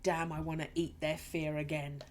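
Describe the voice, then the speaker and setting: A woman's voice: sits low, little pitch movement, moderate pace, a little hiss or hum, natural voice. Woman reading a cold, fear-hungry musician. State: stuffy nose from a cold.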